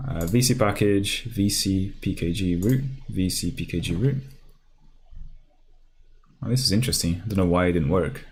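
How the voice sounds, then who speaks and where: A man's voice: sits low, moderate pace, pauses often, slightly rough. A man from Brazil whose first language is Portuguese, hosting a casual live coding stream and talking through each step with lots of fillers and restarts. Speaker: programming livestream host. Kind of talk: live coding tutorial, thinking aloud. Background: keyboard typing.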